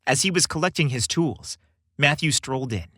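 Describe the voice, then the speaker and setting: A man hosting a podcast and narrating a scripted story in a theatrical, expressive way. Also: a little high, normal speed, no echo, studio quality.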